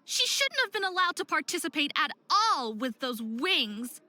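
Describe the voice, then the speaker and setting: A woman's voice: sits high, sing-song, moderate pace, sharp tone, no echo, heavily acted. A young woman voicing a hot-headed, distraught young faerie skater in a visual novel game, with a dramatic, emphatic delivery. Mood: upset. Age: young adult.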